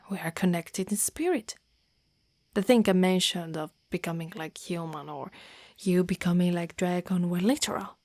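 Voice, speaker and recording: A woman voicing a devoted, lovestruck female dragon knight in human form, in an intimate, half-whispered ASMR roleplay with formal, halting phrasing. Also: fairly low pitch, a little mellow, no echo, studio quality. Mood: earnest, devoted. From Italy. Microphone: close microphone.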